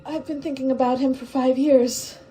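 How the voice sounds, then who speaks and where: A woman's voice: high-pitched, steady tone, normal speed, mellow, soft background music, lightly acted, rough recording. An actress playing a frightened, wistful young woman stowaway in a science-fiction radio drama, speaking hesitantly and with tender emotion. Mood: wistful, sad.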